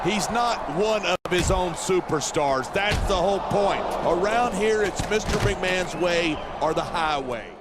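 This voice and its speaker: A man's voice: sits high, lively intonation, measured pace, heavy background noise. A man playing a brash, sardonic veteran wrestler turned commentator, with punchy sports commentary delivery. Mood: blunt. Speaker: color commentator.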